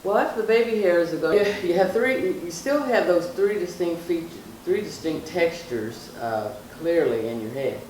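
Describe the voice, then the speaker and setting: A woman's voice: lowish voice, lively intonation, moderate pace, hardly any pauses, darkish tone. A middle-aged woman reminiscing casually as an interviewed mother, rambling and repeating words for emphasis. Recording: echoey, distant mic, faint noise.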